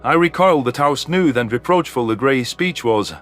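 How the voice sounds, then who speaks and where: A man delivers scripted first-person story narration in a mid-pitched voice. Faint music runs underneath him. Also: expressive, normal speed, a bit bright.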